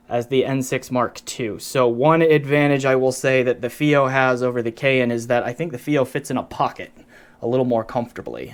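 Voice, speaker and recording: A man giving a casual, unscripted gear review, explaining things conversationally and often breaking off his own sentences. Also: medium pitch. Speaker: product reviewer.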